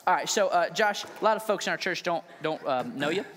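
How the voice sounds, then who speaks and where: An energetic, joking man, a pastor, interviewing a colleague on stage with loud emphatic bursts. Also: sits high, brisk. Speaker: lead pastor. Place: large church hall. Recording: reverberant hall, faint noise.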